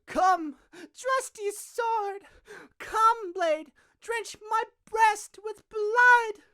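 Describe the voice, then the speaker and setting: Melodramatic mourning lover, extremely high bright voice, slow drawn-out wailing, audio drama. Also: female voice, exaggerated voice. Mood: melodramatic despair. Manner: overwrought, wailing, drawn-out delivery. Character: amateur player as Thisbe, melodramatic young female lover in mourning. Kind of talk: audio drama Shakespeare in modern English.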